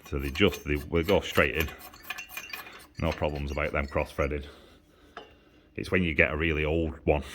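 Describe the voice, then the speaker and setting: Very deep-voiced man. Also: a bit bright. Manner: informal, explaining step by step with repetition. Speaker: motorcycle exhaust maker and mechanic. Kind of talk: casual hands-on workshop demonstration. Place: motorcycle workshop. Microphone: handheld camera held by the speaker.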